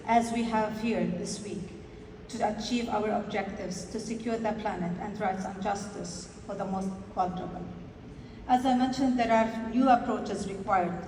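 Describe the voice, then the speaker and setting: A woman's voice: mid-range voice, a little mellow. Earnest woman speaking in an echoey hall. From Lebanon. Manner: earnest, measured, slows for emphasis. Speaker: party delegate giving a congress speech. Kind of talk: prepared conference speech. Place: large conference hall. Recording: echoey hall, distant mic, faint noise.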